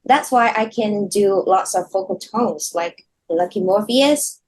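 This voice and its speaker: Slow-talking woman from Hong Kong. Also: mid-range voice. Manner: soft-spoken, slow, halting, laughs often. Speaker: guest singer. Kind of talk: casual interview chat.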